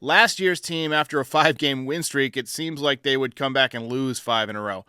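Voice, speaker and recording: Man, American accent, sports talk host, bright forceful voice, crisp, commentary style. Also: medium pitch, moderate pace, no echo, studio quality.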